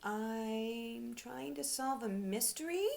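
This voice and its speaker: Woman as an eager little teddy bear, higher-pitched. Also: slow pace, vocal fry, a little mellow. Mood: eager, friendly. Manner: eager, polite. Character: cheerful, polite, curious young male toy teddy bear. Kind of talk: children's storybook character voice.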